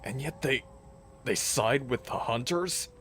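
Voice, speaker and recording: A man's voice: medium pitch, a little slow, fairly bright tone, close to an everyday voice. A man playing a dramatic, wry werewolf packmate in a theatrical, incredulous manner for a fantasy audio drama. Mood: stunned, formal. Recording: clear background noise and ambience.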